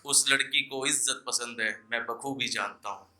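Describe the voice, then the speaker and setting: A man's voice: medium pitch, moderate pace, sharp tone. A young man performing poetry live at an open mic, declaiming couplets with repeated lines and casual asides to the audience.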